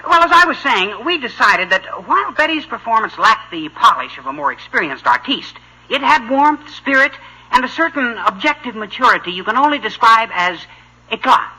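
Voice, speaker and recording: Earnest, self-important young man for old radio sitcom, lively pitch and piercing tone. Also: moderate pace, slightly rough, heavily acted, low-quality recording. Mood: pompous. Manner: pompous, precise, self-important. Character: earnest, pompous teenage boyfriend. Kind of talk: vintage American radio sitcom acting. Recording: old-time radio recording.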